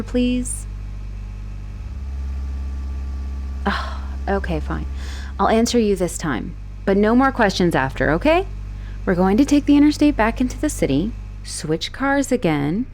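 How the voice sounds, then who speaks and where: A woman playing an obsessive, manipulative young woman who escaped an asylum, in a teasing, expressive roleplay monologue spoken straight to the listener. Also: a little high, a little hiss or hum. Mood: exasperated, scheming. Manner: teasing, possessive, expressive, swinging between sweet and exasperated. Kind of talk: dramatic roleplay audio monologue addressed to the listener.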